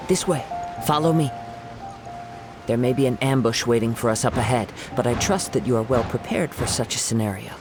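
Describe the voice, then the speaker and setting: An actor playing a calm young male wandering swordsman in a video game, measured and poised. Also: medium pitch, over music, close to an everyday voice. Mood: composed.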